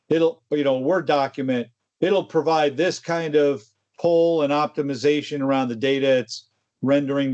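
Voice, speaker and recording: Man, fairly high voice, tech show co-host, casual conversational explaining, informal asides, slightly dull lo-fi recording.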